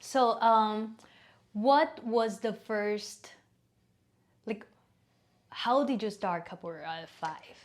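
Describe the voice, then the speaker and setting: A woman's voice: a little high, takes it slowly, pauses often. A woman hosting a podcast, introducing her guest and asking questions in an enthusiastic, chatty way. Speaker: podcast host. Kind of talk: casual interview hosting.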